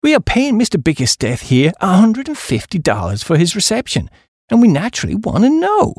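A man as a hearty higher-pitched businessman. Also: huge pitch swings, a little fast, a little mellow, slightly rough, no room sound, studio quality, exaggerated voice. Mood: businesslike, raised. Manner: formal, wordy, businesslike. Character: hearty, civic-boosting American businessman.

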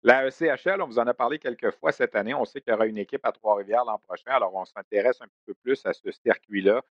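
A man's voice: mid-range voice, fast, no echo, dull audio. Man hosting a hockey segment. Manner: conversational, many fillers. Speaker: sports radio host. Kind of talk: hockey news segment and interview.

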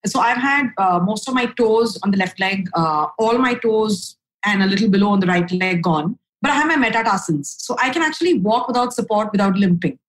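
Candid low-voiced woman guest. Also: lively intonation, brisk, hardly any pauses, poor audio. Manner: frank, upbeat, lots of fillers and "right?" tags. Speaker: podcast guest. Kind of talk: candid conversational storytelling.

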